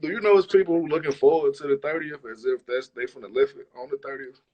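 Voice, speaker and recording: A man's voice: a little high, fast talker, husky, highs cut off. A man chatting casually as an interview guest, using a lot of slang and swearing. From Chicago, United States. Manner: slangy, profane. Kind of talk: casual chat.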